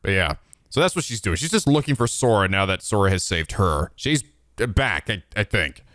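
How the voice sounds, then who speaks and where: Man, casual game reaction host, bright forceful tone, swooping pitch, jokey, sweary. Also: sing-song, moderate pace, a little hiss or hum. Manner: profane, jokey, playful. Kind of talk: casual reaction commentary with joking interjections.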